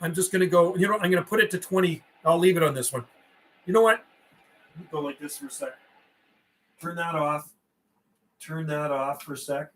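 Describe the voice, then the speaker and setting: A bright, forceful male voice on the higher side, hosting a casual hobby livestream. He rambles in a folksy way, slipping between chat and radio call signs, with some faint background noise. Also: brisk, long pauses.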